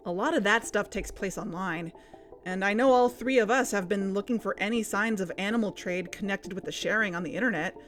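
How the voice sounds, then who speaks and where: An actress playing a measured, practical investigative podcast host in an audio drama. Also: female voice, medium pitch, fairly bright tone, soft background music, lightly acted.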